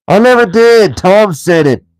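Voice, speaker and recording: A man's voice: high-pitched, animated, unhurried, fairly bright tone, smooth, no room sound, pitched above the performer's own voice, low-quality recording. A man reading aloud and voicing quarrelsome brutish trolls in a storybook. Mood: squabbling.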